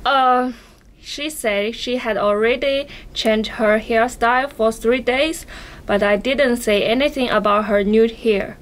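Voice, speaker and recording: Friendly puppet-show pal played by a woman: high pitch, unhurried halting lines, apologetic amateur skit feel. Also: natural voice. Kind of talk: scripted amateur dialogue.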